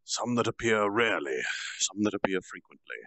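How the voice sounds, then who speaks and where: A man reading a novel aloud on a stream, earnest, with quick apologetic asides. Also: lowish voice, animated, fairly bright tone, no room sound, poor audio. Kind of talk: casual storytime reading.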